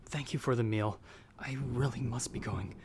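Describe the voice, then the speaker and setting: A man playing a timid young traveler in an ASMR audio roleplay, speaking softly and hesitantly. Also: mid-range voice, moderate pace, close to an everyday voice. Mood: panicked, pleading. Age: young adult. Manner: soft, hesitant, stammering when afraid. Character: timid young male traveler, storyteller trapped by a vampire. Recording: background storm noise.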